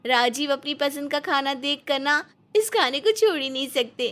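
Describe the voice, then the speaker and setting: A woman puts on a young daughter-in-law from a cartoon family drama. Her voice sits high and sounds sugary and fussy, as she sweet-talks and defends herself with animated delivery. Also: moderate pace. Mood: sweet, coaxing. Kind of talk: dramatized cartoon storybook dialogue.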